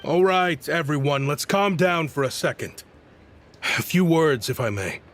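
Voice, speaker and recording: A man voicing a diplomatic, conciliatory town official in a video game, speaking in measured, soothing speeches. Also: fairly high pitch, animated, a bit bright, slight background noise. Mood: placating.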